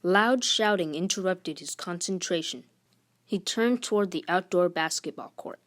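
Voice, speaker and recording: A woman with a slightly low voice reads a storybook aloud as host. Her narration is expressive. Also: moderate pace.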